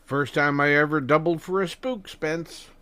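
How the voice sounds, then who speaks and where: An actor playing a nervous, joking detective's sidekick in 1930s radio drama style. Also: male voice, a little high, normal speed, sharp tone, clean tone. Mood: jokey, nervous.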